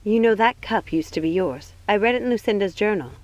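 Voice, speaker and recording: A woman's voice: mid-range voice, animated, brisk, dull audio, slight background noise, lightly acted. A woman voicing a worried, protective young witch in an audiobook, speaking earnestly and with care. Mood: pensive. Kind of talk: audiobook character voice.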